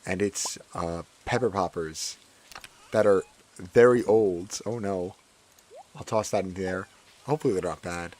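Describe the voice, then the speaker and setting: A man with a hoarse, cracking voice chatting casually while playing a farming game, often repeating his own phrases. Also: deep voice, measured pace, darkish tone, a little hiss or hum. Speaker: gaming streamer. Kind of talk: casual gameplay commentary.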